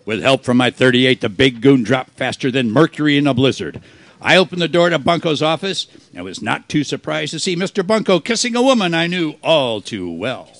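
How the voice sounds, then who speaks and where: A man playing a wisecracking, deadpan private detective in an old-time radio style play. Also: medium pitch, fairly quick, no room sound. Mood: wry narration. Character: wisecracking hardboiled male private detective narrating his case.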